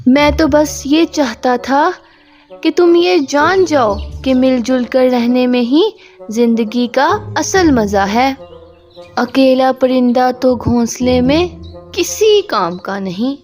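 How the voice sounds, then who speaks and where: A woman voicing a gentle, patient male bird husband in a cartoon, speaking in an earnest, mild way. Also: high-pitched.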